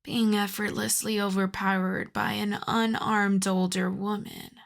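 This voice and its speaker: Slow, haughty vampire countess, acted by a woman. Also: medium pitch, little pitch movement. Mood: amused, domineering. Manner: deliberate, haughty, teasing, drifting into breathy whispers. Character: predatory aristocratic older female vampire countess, teasing and imperious. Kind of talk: ASMR roleplay, slow theatrical monologue.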